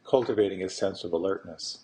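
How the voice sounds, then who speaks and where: A man guiding a meditation as a teacher, speaking slowly and deliberately, with long pauses between phrases. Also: low-pitched, mellow, low-quality recording. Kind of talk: guided meditation instruction.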